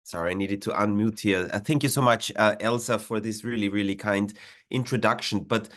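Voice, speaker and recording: A man's voice: fairly low pitch, fairly even intonation, a little fast, fairly bright tone. A man with an Austrian accent, a diplomat presenting over a video call, with frequent "uh" fillers and hesitations. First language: German. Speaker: diplomat giving a webinar talk.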